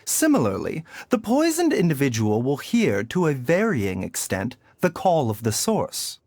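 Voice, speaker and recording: A man playing a composed, learned doctor in a video game, speaking formally in measured explanations. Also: medium pitch, wildly swooping pitch, darkish tone, no room sound, heavily acted. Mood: grave.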